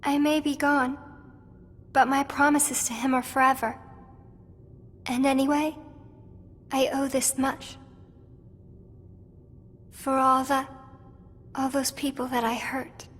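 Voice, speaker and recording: A woman playing a gentle, wistful teenage girl in a video game, speaking softly and solemnly. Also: high voice, little pitch movement, slow pace, long pauses. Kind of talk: video game cutscene acting.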